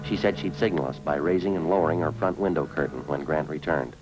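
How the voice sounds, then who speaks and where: A man's voice: extremely low pitch, fast, rough recording. An American man playing a terse, businesslike police sergeant in a clipped, matter-of-fact old radio crime drama. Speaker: lead actor and narrator. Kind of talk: 1940s-50s radio drama, clipped procedural narration. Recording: old radio recording with music behind.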